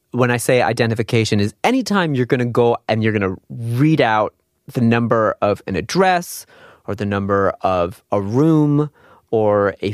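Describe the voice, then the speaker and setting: A man's voice: lowish voice, no echo, clean studio sound. A man teaching an informal language lesson in a conversational, explanatory way, putting questions to the listener as he goes. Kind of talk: informal spoken lesson with examples and quiz questions.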